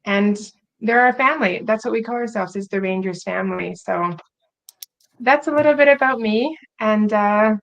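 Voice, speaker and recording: A woman's voice: mid-range voice, moderate pace, gravelly, poor audio. A woman from Scotland with a North American-influenced accent, co-hosting a casual podcast in an earnest, upbeat way. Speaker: podcast co-host.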